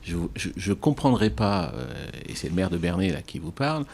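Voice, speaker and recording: A man speaking as a town mayor in a political interview, halting and repeating words for emphasis. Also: low voice, sing-song, a little mellow, slight background noise.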